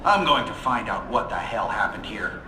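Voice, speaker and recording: A man's voice: lowish voice, sing-song, moderate pace. An actor playing an accusatory committee chairman in a game cutscene, formal and declamatory. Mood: indignant. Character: forceful male committee chairman, official and accusatory. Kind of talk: dramatic game cutscene acting. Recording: echoey hearing room, faint noise.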